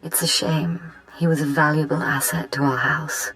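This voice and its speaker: Polite, worried female aristocrat, low register, formal and deferential game dialogue, light music behind. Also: steady tone, moderate pace, a bit bright, low-quality recording. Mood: grateful, gracious. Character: polite, worried noblewoman pleading for help. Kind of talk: dramatic video game acting.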